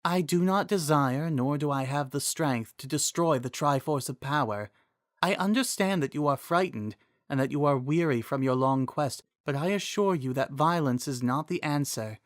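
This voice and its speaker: Man voicing a soft, gentle female relic spirit. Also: fairly high pitch, no echo, clean studio sound, lightly acted. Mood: gentle, firm. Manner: calm, measured, reassuring. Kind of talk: dramatic fantasy storybook reading.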